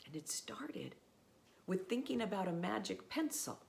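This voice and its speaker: A woman pastor, reading a picture book aloud to children in an expressive, simple way. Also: medium pitch, normal speed, vocal fry, slight background noise. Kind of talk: storybook read-aloud, addressed to children.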